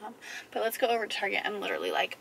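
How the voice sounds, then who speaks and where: Bright-voiced young woman, a bit hoarse. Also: medium pitch, rapid-fire, slight background noise. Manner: chatty, filler-heavy, enthusiastic. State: hoarse from a lingering cough. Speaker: lifestyle vlogger. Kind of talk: casual vlog chat to camera. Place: parked car, then in a large store and a parking lot. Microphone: camera on dashboard or handheld at arm's length.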